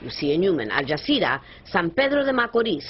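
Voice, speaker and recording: A female news correspondent narrating a scripted report in a measured, expressive way. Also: fairly low pitch, dull audio.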